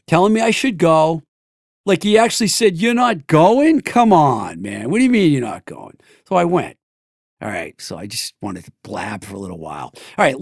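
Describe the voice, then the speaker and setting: A man's voice: high voice, creaky, no room sound, studio quality. A man hosting a casual interview podcast, rambling and chatty. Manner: rambling, chatty, laughs easily. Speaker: podcast host.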